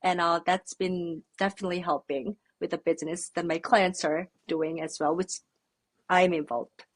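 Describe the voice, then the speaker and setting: A young Korean woman, a native Korean speaker, answering interview questions in a relaxed, thoughtful way with many fillers. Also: lowish voice, moderate pace. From South Korea. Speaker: podcast guest. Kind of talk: casual interview chat.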